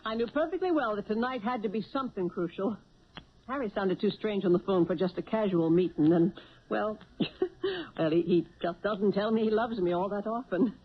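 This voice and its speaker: Female, actress as affectionate, sharp-witted wife, noticeably husky, vintage radio play with dulled sound. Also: mid-range voice, moderate pace, natural voice. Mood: fond, knowing. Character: cab driver's perceptive, affectionate, supportive wife. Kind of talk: 1970s radio drama acting. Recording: muffled 1970s radio broadcast.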